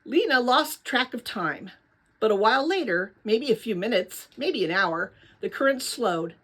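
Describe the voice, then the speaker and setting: A woman reading a children's novel aloud as narrator, with dramatic, expressive storytelling. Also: fairly high pitch, a little slow.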